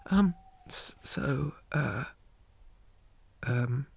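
A man playing a shy, polite zombie boy in an ASMR roleplay, speaking softly, often in whispers, hesitant and flustered with old-fashioned manners. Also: mid-range voice, drawn-out words, full character voice. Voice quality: soft, breathy, often whispered.

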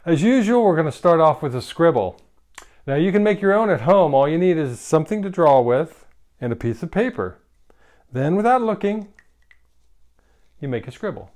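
A man in his fifties presenting a drawing and story show to children in an animated style. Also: fairly high pitch, moderate pace, pauses often.

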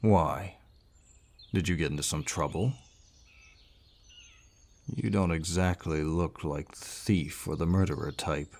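An actor playing a large, gruff but kindly barbarian warrior in an audio roleplay, measured and reassuring, with a deep voice. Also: male voice, darkish tone, exaggerated voice. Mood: calm, questioning. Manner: measured, reassuring, with pauses and sighs. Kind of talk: scripted audio roleplay, addressing the listener. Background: birdsong forest sound effects.

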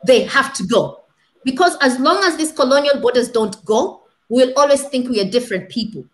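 A woman's voice: sits high, animated, moderate pace. A woman in her thirties giving impassioned commentary as a panel guest on a video call, asking rhetorical questions and repeating points for stress. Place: small room indoors. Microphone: laptop webcam video call.

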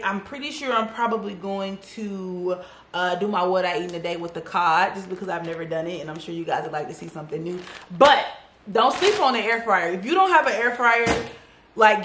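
A woman's voice: mid-range voice, fairly bright tone, slight background noise. A woman in her thirties chatting casually through a grocery haul in her home kitchen, sassy and talkative, calling her viewers y'all and baby. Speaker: vlogger. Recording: echoey kitchen.

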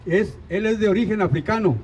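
A man's voice: sits high, brisk, a little mellow, slightly rough. An older man from Mexico with a Mexican accent, giving an earnest, rousing rally speech outdoors into a handheld mic. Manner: earnest, rousing, asks pointed questions. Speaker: rally speaker. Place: outdoors in a park.

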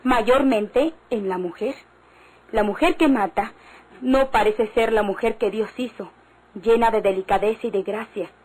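A woman's voice: fairly high pitch, measured pace, darkish tone, breathy edge, low-quality recording. A woman playing a genteel, insistent young lady in a Mexican radio drama, speaking in a refined, earnest way. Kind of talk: old-time radio drama acting.

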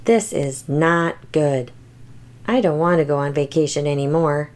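A woman voicing an anxious, boastful but loving little toy T-rex in a picture book, with exaggerated, expressive delivery. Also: low-pitched, unhurried, pitched below the performer's own voice. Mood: panicky, complaining. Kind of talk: children's picture book read-aloud.

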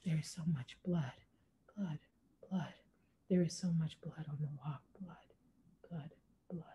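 Woman, middle-aged, dark mellow voice, measured poetry reading, video call. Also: sits low, a little hiss or hum. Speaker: poet. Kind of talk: poetry reading in clinical report tone. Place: room at home. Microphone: computer mic on a video call.

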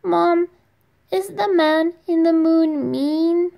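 A woman voicing a small, earnest boy who plays at being a knight, asking anxious, pleading questions in a raised, high voice. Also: steady tone, takes it slowly, gravelly, dark tone, exaggerated voice.

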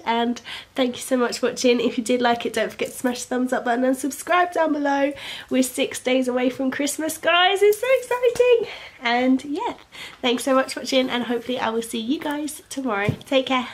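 Giggly woman with a stuffy head cold. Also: a little high. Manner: chatty, giggly. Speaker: vlogger. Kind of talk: casual vlog chat. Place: living room at night. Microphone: handheld camera at arm's length.

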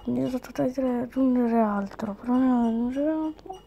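A woman's voice: a little high, brisk, vocal fry, muffled recording. A woman chatting casually through a game as a video host, reading on-screen text aloud as she comments.

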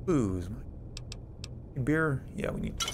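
A man's voice: medium pitch, lively intonation, extremely slow, background noise. A man giving casual, rambling video game commentary, with some swearing.

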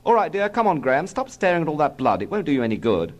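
A man's voice: on the high side, very animated, fast talker, voice pushed up. A man voicing a prim, patient wartime English mother in a comic story, fussy and placating.

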